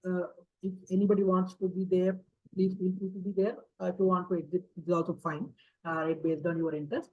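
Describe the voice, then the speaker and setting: Man, 50s, session host, halting speech, fillers, dull lo-fi video call sound. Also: sits high. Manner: halting, with many fillers and tag questions. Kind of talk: online talk to a community group. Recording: dull lo-fi audio.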